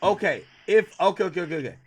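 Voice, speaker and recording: Thirties male streamer host, high-pitched, animated casual talker over light background noise. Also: fast. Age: 30s. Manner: animated, repeats himself, talks over guest. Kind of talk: casual livestream chat.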